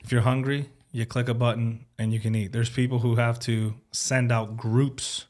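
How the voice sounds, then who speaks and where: A man's voice: mid-range voice, flat delivery, moderate pace, darkish tone. A man hosting a podcast, talking in an easygoing, agreeable way. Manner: easygoing, agreeable, casual phrasing. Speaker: podcast host. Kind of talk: casual conversational interview.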